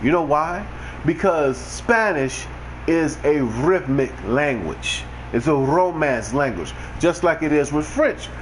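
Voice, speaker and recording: A man's voice: on the high side, slight background noise, poor audio. A man giving a blunt, ranting, preachy monologue to his livestream viewers over a headset mic. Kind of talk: unscripted monologue.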